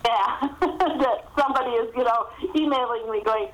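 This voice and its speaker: A woman's voice: a little high, normal speed, rough recording. Middle aged lady being interviewed, chatty storyteller, says you know a lot, casual podcast talk.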